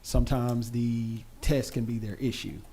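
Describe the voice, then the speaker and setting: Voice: mid-range voice, level tone, measured pace, mellow, a little hiss or hum. A board member asking careful, polite questions at a meeting.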